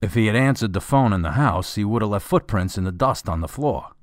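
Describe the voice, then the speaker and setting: Gruff deep cop guy, man acting, matter of fact, bit fed up, radio drama, really dry sound. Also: expressive, brisk, dry recording, natural voice. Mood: businesslike. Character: gruff, practical, dryly sarcastic male police officer. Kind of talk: scripted radio drama dialogue.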